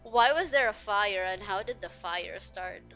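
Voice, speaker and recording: A woman's voice: mid-range voice, animated, unhurried, bright, forceful tone, muffled recording, soft background music. A woman doing casual game playthrough commentary, chatting and musing aloud as she reacts to the characters. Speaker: game streamer.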